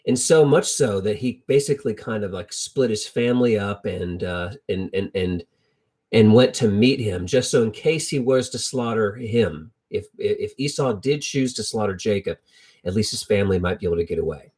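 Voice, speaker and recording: A middle-aged American man tells Bible stories informally with personal anecdotes, in a halting, reflective way, repeating phrases for emphasis. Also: fairly low pitch, darkish tone, slightly rough. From United States. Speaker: lay Bible storyteller.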